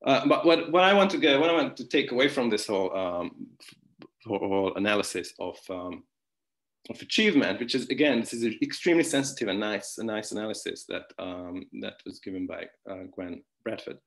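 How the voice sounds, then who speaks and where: A man's voice: fairly low pitch, expressive, fairly quick, gravelly, fairly bright tone. A man whose first language is Hungarian, giving an online philosophy conference talk with many restarts, fillers and wry asides. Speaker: philosophy lecturer.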